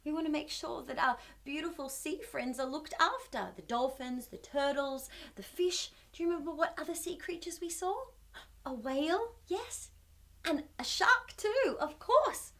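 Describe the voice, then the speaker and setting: A woman hosting a children's storytime, reading a picture book in an animated way and putting questions to her young listeners with exaggerated wonder. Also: high-pitched, vocal fry.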